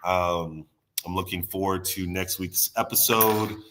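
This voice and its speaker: A man's voice: deep voice, steady tone, a little slow, background noise. A man with a stuffy nose, guesting on a casual podcast chat, rambling and restarting his sentences. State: stuffy nose, under the weather.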